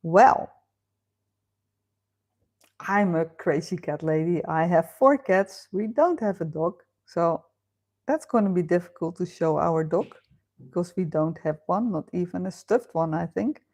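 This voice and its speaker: Slow-talking Dutch woman chatting live. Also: on the low side, lively intonation, a little mellow. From Netherlands. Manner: chatty, laughs often, thinks aloud with fillers. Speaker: livestream host. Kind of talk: casual live chat reading viewer comments. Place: home.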